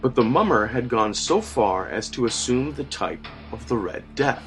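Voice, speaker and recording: A man narrates gothic horror in a theatrical, measured style at a medium pitch. His delivery is formal and dramatic, with music running underneath. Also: animated, a bit bright. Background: background music.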